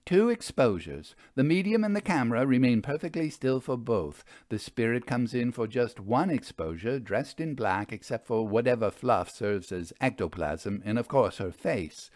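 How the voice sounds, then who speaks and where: A man voicing a brisk, skeptical woman investigator in a storybook, with blunt, formal and dryly knowing speech. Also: mid-range voice, animated, a little mellow, no echo, lightly acted. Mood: exasperated, knowing. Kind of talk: dramatized audiobook storytelling.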